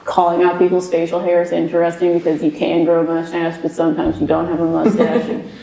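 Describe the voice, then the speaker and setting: A woman's voice: fairly low pitch, monotone, fast, vocal fry, mellow. A young woman, a paranormal investigator, chatting casually and rambling with lots of fillers and giggles. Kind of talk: casual chat on a ghost hunt. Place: old basement room with echo. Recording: echoey basement room.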